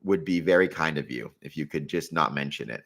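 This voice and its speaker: A man's voice: very deep voice, lively intonation, a little fast, gravelly, a bit bright. A man in his forties hosting a live stream, chatting casually as he answers questions and thinking aloud with hedging asides. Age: 40s.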